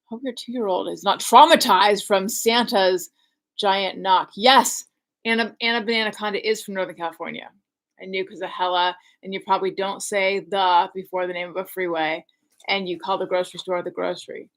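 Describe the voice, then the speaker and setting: A woman's voice: mid-range voice, fairly even intonation, normal speed, fairly bright tone. A woman hosting a casual livestream at home, chatting and reading viewer comments aloud while wrapping gifts. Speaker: host.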